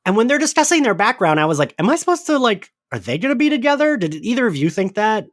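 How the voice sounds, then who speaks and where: A man's voice: high voice, very animated, fast talker. A man hosting a podcast, chatting casually in a rambling, giggly way full of filler words. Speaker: podcast host. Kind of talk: casual chatty recap discussion.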